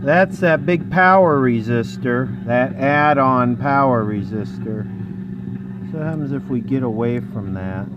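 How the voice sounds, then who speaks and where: A man's voice: medium pitch, measured pace, highs cut off, background noise. A man narrating a radio repair at his workbench in a casual way, explaining technical details as he thinks aloud.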